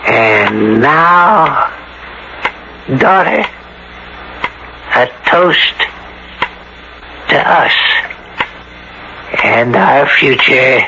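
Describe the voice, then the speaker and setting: An actor playing a menacing, gloating old farmer uncle in a radio drama, with a sly, mock-polite manner. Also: male voice, mid-range voice, expressive, extremely slow, creaky, long pauses, pushed and bright, heavily acted. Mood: falsely friendly. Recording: dull, noisy old radio broadcast.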